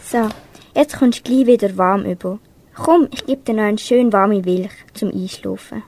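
A woman's voice: mid-range voice, normal speed, a little mellow, slight background noise, lightly acted. A young teenage girl playing a caring pet owner, tender and coaxing, in an amateur radio play. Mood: worried, comforting. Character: caring girl who looks after pets.

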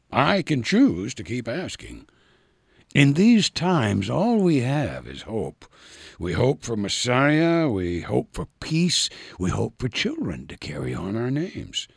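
An aged, devout priest in a Bible radio drama. He is stubborn yet tender, with a creaky, gravelly voice that rises and falls dramatically. He speaks slowly and deliberately, over faint music. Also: medium pitch, dry recording, studio quality, heavily acted.

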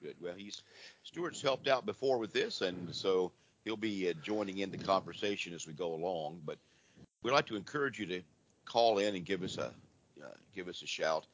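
A hoarse man with laryngitis hosts a live call-in radio show, talking in a casual, rambling way with frequent restarts. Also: low-pitched, moderate pace. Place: church. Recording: dull lo-fi radio audio with faint noise.

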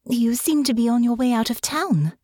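A woman's voice: a little high, lively intonation, normal speed, a little mellow, no echo, pitched above the performer's own voice. A woman voicing a poised young noblewoman who speaks with refined, courteous diction. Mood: gracious. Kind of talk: audiobook character voice.

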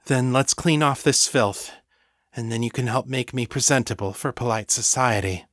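A man's voice: mid-range voice, moderate pace, fairly bright tone, dry recording, studio quality, lightly acted. Man as Miriam, a firm, kind mistress. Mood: patient, resolved. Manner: firm, compassionate.

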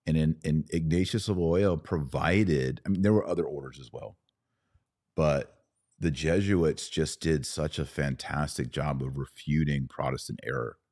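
A man with an extremely low voice hosts a religious discussion. The sound is dry, with no room around it. He is conversational and agreeable, working through his explanations reflectively. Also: moderate pace, a little mellow, no room sound. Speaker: podcast host.

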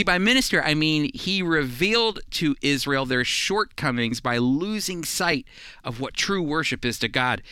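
A man's voice: on the high side, bright, forceful tone, no echo, studio quality. A man teaching the Bible on a radio program in an earnest, emphatic, conversational preaching style.

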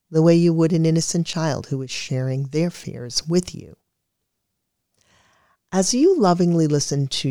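A narrator with a medium-pitched voice reading a scripted guided meditation in a calm, measured, soothing way. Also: no echo, clean studio sound.

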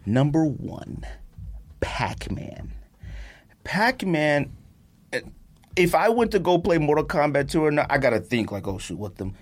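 Animated, slangy man on a podcast. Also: a little high, measured pace, pauses often. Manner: slangy, emphatic, repeats phrases for emphasis. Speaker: podcast co-host counting down a list. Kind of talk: casual, opinionated podcast chat.

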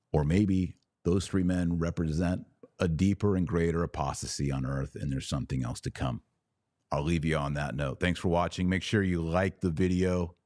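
Very deep-voiced man, casual podcast host. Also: a little mellow, no echo, clean studio sound. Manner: colloquial, musing, punchy short repeated phrases. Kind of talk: casual religious commentary monologue.

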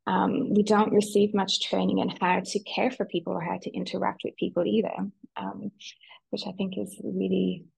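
Husky Irish woman with gravelly edge. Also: medium pitch, flat delivery, normal speed, rough recording. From Ireland. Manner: reflective, softly hesitant. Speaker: guest, physiotherapist and PhD student. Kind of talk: conversational podcast interview.

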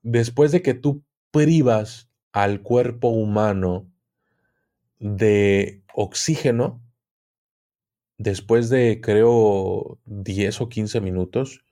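A man with a Mexican accent speaking as an interview guest, explaining things conversationally and haltingly, often repeating words. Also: lowish voice, warm tone. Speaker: podcast guest, occultism expert.

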